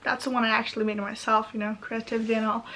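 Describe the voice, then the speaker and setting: A young Norwegian woman talks on and on in a loose, rambling way, stacking fillers and side thoughts. Her voice sits a little high and her accent colors her English. She speaks from her bedroom. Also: level tone, rapid-fire, sharp tone, slight background noise, low-quality recording. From Norway. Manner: chatty, rambling, many fillers. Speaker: vlogger. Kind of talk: rambling casual monologue.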